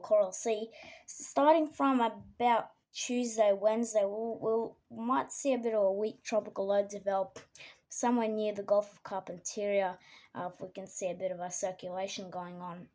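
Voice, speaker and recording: An Australian woman with a croaky voice from a cold, giving a casual weather forecast with many ums and frequent throat clearing. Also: medium pitch, a little mellow.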